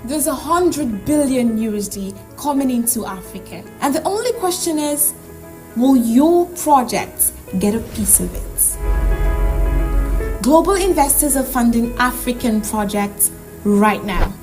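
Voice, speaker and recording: A woman's voice: a little high, lively intonation, measured pace, darkish tone. A woman reading a scripted promotional voice-over in a punchy, persuasive manner. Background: music.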